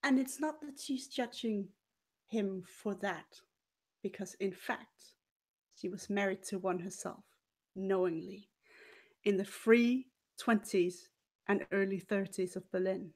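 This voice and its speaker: A woman tells a dark tale in a slow, theatrical delivery, lingering on her pauses. She plays a haughty, venomous, money-loving former cabaret dancer. Her mid-pitched voice swells dramatically as she narrates in the third person. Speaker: player in a murder mystery role-playing game.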